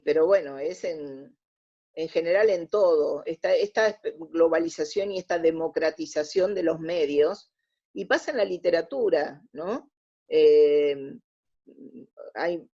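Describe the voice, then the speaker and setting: A woman's voice: lowish voice, warm tone, muffled recording. An Argentine woman talking as an interview guest, explaining her opinions and often rephrasing mid-sentence. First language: Spanish. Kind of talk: conversational radio interview.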